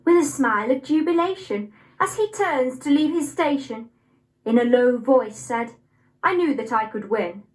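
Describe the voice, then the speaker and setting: A young adult woman with an English accent, reciting poetry expressively with measured, deliberate phrasing. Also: high-pitched, animated, low-quality recording. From Nottingham, England. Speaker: poetry reader.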